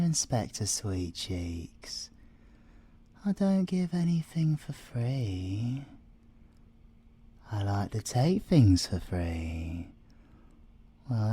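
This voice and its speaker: A man's voice: medium pitch, warm tone, no echo. A British man voicing an arrogant, flirtatious male siren thief in an ASMR audio roleplay, teasing and theatrical, sometimes dropping to a whisper. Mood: smug, possessive.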